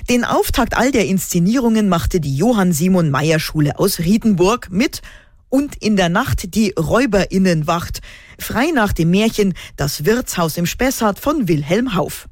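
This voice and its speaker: A woman reporter narrating a news feature and asking rapid-fire questions with friendly praise. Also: mid-range voice, animated, darkish tone, no room sound, clean studio sound.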